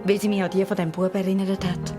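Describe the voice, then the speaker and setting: An actress plays an affectionate Swiss mum in a radio drama for children. She is gentle, with a medium-pitched female voice and music clearly behind her. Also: level tone, fast talker, close to an everyday voice. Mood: fond. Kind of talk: children's radio play acting.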